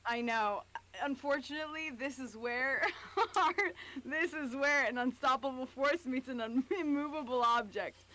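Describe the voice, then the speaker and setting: A woman with a fairly high voice chats casually as a streamer. She is playful and emphatic, circling back over phrases as she goes. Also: a little slow, pushed and bright. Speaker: streamer co-reading fan fiction. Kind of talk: casual chat.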